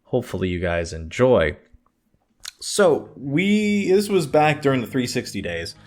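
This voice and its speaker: A man's voice: mid-range voice, wildly swooping pitch, a little slow, a little mellow. A man telling personal stories casually to his online viewers, digressing, hesitating and circling back as he goes. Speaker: YouTube storyteller. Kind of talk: casual rambling personal storytelling.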